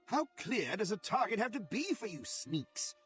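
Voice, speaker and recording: An actor playing a blunt, militant gnomish soldier in a fantasy game, talking in a challenging manner. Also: male voice, high voice, sing-song, normal speed, fairly bright tone, faint music behind. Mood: brusque, confident.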